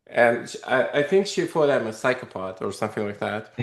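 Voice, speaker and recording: A man, a company founder telling his story in a casual interview, animated and rambling with many fillers. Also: medium pitch, fairly quick. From Russia. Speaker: podcast guest, company founder. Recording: echoey room.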